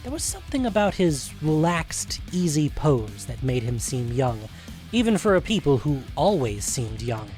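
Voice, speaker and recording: A man's voice: on the high side, very animated, moderate pace, darkish tone, faint music behind. A man reading scripted narration for a fantasy adventure story.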